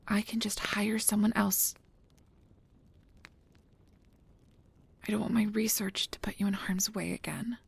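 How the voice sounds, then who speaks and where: Breathy, nervous young-sounding researcher played by a woman. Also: on the high side, exaggerated voice. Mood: hurt, conciliatory. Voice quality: breathy, soft. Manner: hesitant, stammering, earnest. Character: nervous, earnest, stubbornly principled woman field researcher of magical creatures. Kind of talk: ASMR roleplay audio drama, soft close delivery. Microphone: close mic, dry recording.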